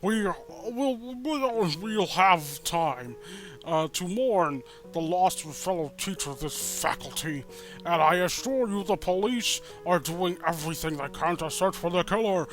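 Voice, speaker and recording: Man acting a principal in his forties: solemn, formal, halting delivery, fairly high pitch, music behind. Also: animated, a bit bright. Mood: solemn, reassuring. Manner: slow, halting, formal speechmaking. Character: solemn male school principal in his forties addressing students. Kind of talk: comedic dramatic reading of a story.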